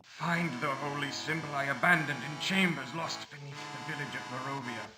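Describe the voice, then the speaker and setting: A man's voice: mid-range voice, moderate pace, lightly acted. A man voicing a bitter old fallen paladin's ghost in a video game, speaking solemn and grandiose lines. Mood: commanding. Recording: echoey game audio over music.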